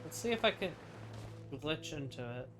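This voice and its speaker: Male gamer, slightly high register, casual thinking-aloud playthrough talk, game music clearly behind. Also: expressive, moderate pace. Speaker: gamer doing playthrough commentary. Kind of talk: casual gameplay commentary.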